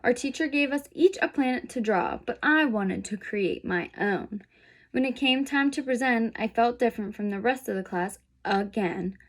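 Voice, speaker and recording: A woman's voice: a little high, expressive, normal speed. A woman voicing a sensitive, misunderstood young boy zebra in a picture book, plaintive and earnest. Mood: earnest, troubled.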